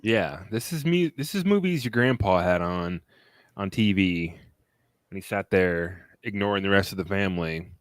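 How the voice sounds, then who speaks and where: A man hosting a casual film podcast, rambling and relaxed, often laughing between thoughts. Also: low voice, normal speed, dry recording, studio quality. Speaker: podcast host.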